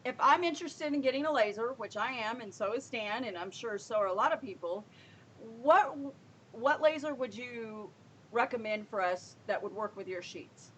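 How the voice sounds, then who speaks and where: A woman's voice: a little high, moderate pace, fairly bright tone, a little hiss or hum, poor audio. A woman hosting a casual online show, talking in a rambling way and often rephrasing herself. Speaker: show host.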